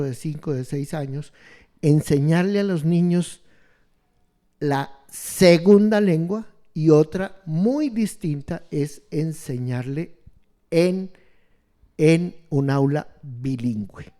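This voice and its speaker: A man explaining ideas on education in an interview, halting and restarting often as he searches for words. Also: fairly high pitch, dark tone. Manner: slow, halting, many restarts and fillers. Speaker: education expert guest.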